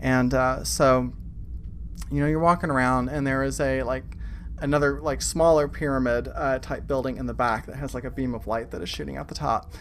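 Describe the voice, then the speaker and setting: A man running a tabletop game, improvising narration in a halting, conversational way. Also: mid-range voice, fairly even intonation, fairly bright tone, slight background noise. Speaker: game master.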